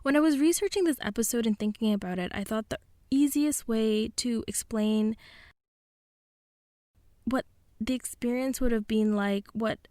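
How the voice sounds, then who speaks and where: A woman's voice: a little high, normal speed, pauses often, dark tone, no echo, clean studio sound. A woman co-hosting a podcast, moving between conversation and explanatory narration. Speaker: podcast co-host.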